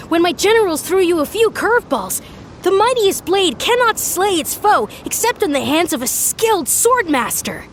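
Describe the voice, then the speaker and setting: A little, self-assured battle master for an anime game. The voice is extremely high and very animated, with formal, lofty phrasing as if instructing pupils. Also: female voice, slight background noise, exaggerated voice.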